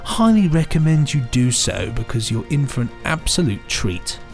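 A man's voice: medium pitch, very animated, moderate pace, vocal fry, faint music behind. A man co-hosting an audio drama news podcast with enthusiastic, upbeat presenting. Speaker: podcast co-host. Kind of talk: casual chat.

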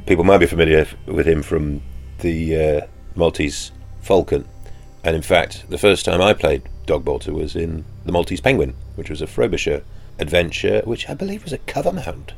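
A man with a deep voice gives an interview as a voice actor. His delivery is wry and joking, with very lively swings of intonation. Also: moderate pace, creaky. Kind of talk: behind-the-scenes interview.

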